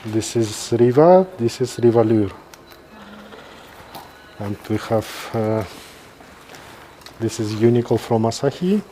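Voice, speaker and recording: Slow-talking man with a Kazakh accent. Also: mid-range voice, animated, mellow, slight background noise. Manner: halting, pausing mid-sentence. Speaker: neurosurgeon presenting a live case. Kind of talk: informal live-stream commentary.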